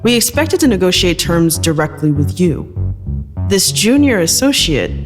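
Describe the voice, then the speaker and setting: An uneasy go-between in business talks, acted by a male narrator, he speaks formally and deferentially in an audio story. There is clear music behind him and a long reverb on the voice. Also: high voice, big pitch swings, moderate pace. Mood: formal objection.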